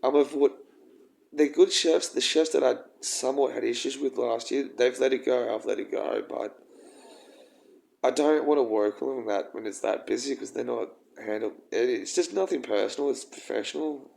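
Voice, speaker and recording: A young man with a croaky voice, rambling and halting through a casual personal vlog. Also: mid-range voice, a little mellow. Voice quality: croaky, gravelly. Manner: rambling, halting, with frequent ums and swearing. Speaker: vlogger.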